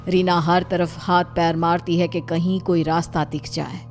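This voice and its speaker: A woman narrator reads a scripted story. Her voice is low and stays even, with little movement in pitch. Music plays softly underneath. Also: moderate pace. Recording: music behind the voice.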